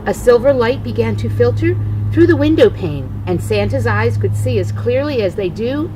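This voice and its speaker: An older woman, a grandmother, reading a children's storybook aloud with expressive, deliberate phrasing. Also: a little high, noisy background.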